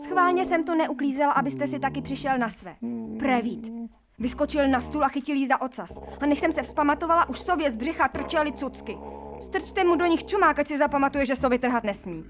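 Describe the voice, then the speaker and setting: An actress playing a bossy, sharp-tongued housemaid who nags in colloquial speech in a radio drama. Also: female voice, high-pitched, a crowd in the background, natural voice. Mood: scolding. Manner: colloquial, nagging.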